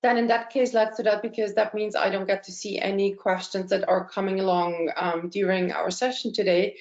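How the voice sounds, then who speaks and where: A woman, presenting a webinar as a career consultant in a measured, explanatory way. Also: medium pitch, flat delivery, a little mellow. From Austria. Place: indoor room, online call. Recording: dull, roomy online webinar audio.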